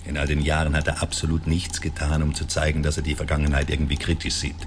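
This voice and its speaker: An actor playing a sober, sceptical justice official in a radio drama, formal, measured and matter-of-fact. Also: male voice, very deep voice, monotone, slight background noise.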